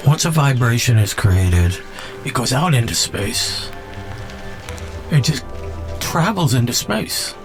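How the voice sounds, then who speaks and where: A man hosting a podcast in a reflective, unscripted monologue, speaking haltingly and musingly and repeating words for emphasis. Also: mid-range voice. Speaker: podcast host.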